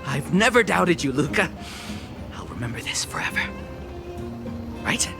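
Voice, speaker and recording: An emotional, grateful game character. The voice is high and swoops wildly, effusive and earnest, over background music. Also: male voice, huge pitch swings, unhurried, gravelly, exaggerated voice. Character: grateful, emotional robot owner. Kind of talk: animated video game voice acting.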